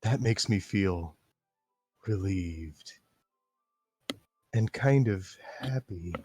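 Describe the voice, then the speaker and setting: A man reading the lines of a shy, intense teenage schoolgirl in a game read-along, soft and measured. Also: low voice, expressive, breathy edge, no room sound, soft background music, exaggerated voice. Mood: calm. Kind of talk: read-aloud of a game script with friends.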